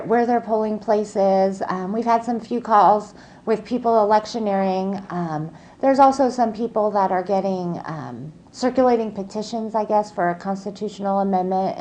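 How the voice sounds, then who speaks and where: A woman giving an unscripted, halting interview answer as an official. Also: medium pitch, a little mellow. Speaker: election official being interviewed.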